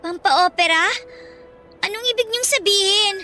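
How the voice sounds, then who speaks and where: A woman's voice: very high-pitched, moderate pace. An actress playing a guilty, evasive teenage girl in a Tagalog radio drama, meek, anxious and defensive. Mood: remorseful. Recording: music playing behind the voice.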